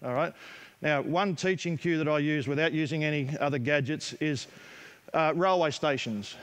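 A middle-aged man with an Australian accent, coaching players in a gym with brisk, direct instructions. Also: on the high side, a bit bright, clear, resonant tone. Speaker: basketball coach. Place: large indoor basketball gym. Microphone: headset mic.

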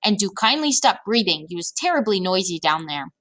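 A woman voicing a big, kindly, excitable male giant in a storybook, with exclamations, muddled grammar and invented words. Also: medium pitch, a little fast. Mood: earnest.

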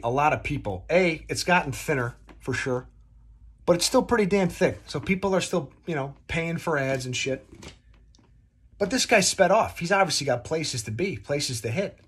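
A man in his twenties telling a casual, comedic story to camera in an animated, exaggerating way, recorded on a phone in a room at home. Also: a little high, moderate pace, slightly rough. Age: 20s. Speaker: vlogger. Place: small dim room at home, indoors.

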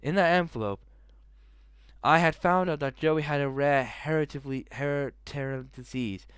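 A man's voice: on the high side, normal speed, a bit bright, clear, resonant tone, no room sound. A young man hosting a gaming video in his bedroom, reading a visual novel aloud into a headset mic and breaking in with crude jokes. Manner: jokey, swearing, breaks into laughter and asides. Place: bedroom, indoors.

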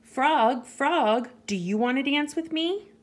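A woman's voice: high voice, lively intonation, takes it slowly, voice pushed up. A woman voicing a small, eager male mouse in a children's storybook, pleading in a singsong way. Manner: singsong, pleading, repeats names.

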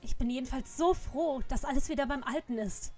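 A woman's voice: sits high, fairly quick, darkish tone, faint music behind. A woman playing an earnest, anxious girl detective in an audio drama parody. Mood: relieved, admiring.

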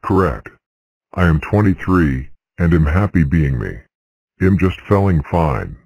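Very deep male cartoon voice, flat read, dry. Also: measured pace, dry recording, highs cut off. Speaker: voice of number characters. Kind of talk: fan cartoon voice-over.

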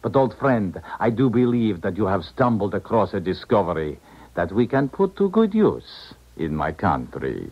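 A deep, husky man, a formal and calculating schemer in a radio play. His phrasing is deliberate and swings expressively. The old recording is dull and muffled. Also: very animated, exaggerated voice. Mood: coldly resolved. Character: calculating foreign male defector, formal and smooth-tongued schemer. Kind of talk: 1970s radio drama acting.

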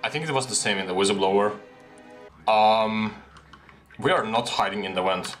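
Excitable young guy gaming on a headset. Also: fairly low pitch, normal speed, soft background music. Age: young adult. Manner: excitable, laughs often, slangy. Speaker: gaming streamer. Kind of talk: casual gameplay commentary. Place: small room at a desk.

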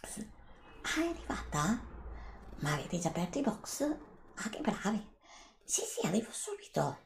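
A woman's voice: medium pitch, creaky, echoey room. A middle-aged woman whispers an ASMR roleplay as a polite, reassuring shop assistant serving a customer. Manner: polite, attentive, reassuring, formally addressing a customer.

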